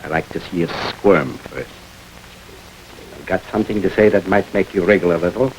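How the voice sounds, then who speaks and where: A man's voice: deep voice, moderate pace, slight background noise, rough recording. An actor playing a brash, ambitious gangster who taunts his boss in tough street slang, in an old film noir radio drama style. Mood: mocking.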